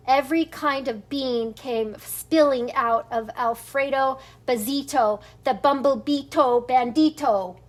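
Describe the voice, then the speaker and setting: Older female storybook reader, high voice, unhurried, expressive, stumbles a little and chuckles. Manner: stumbles over words, chuckles as she reads. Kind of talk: expressive children's read-aloud narration.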